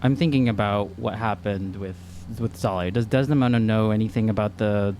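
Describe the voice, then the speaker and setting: Low-pitched man role-playing at a table. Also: fairly quick, darkish tone. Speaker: tabletop game player. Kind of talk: casual in-character questions and talk. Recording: faint hum, slight background noise.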